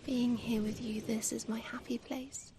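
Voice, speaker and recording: A woman performing an ASMR comfort roleplay as an affectionate mother figure, speaking tenderly in a soft, breathy whisper with lingering pauses. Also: a little high, little pitch movement, background noise.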